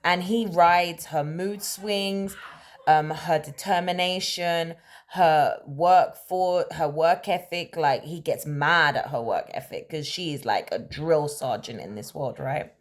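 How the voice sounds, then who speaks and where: A woman telling a story in a casual, conversational way, animated and emphatic, often checking in with rhetorical questions. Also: deep voice, slow. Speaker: storyteller, host of a story-time series.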